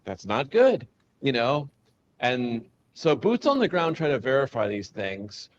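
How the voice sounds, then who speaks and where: A man's voice: very animated, measured pace. A man hosting a podcast, rambling and stumbling over repeated words as he asks questions. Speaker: podcast host. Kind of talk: casual interview chat. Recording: dull lo-fi with faint rumble.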